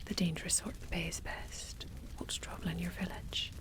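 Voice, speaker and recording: A woman's voice: fairly low pitch, little pitch movement, normal speed, natural voice. Woman whispering as a bold Irish adventuress. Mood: brisk.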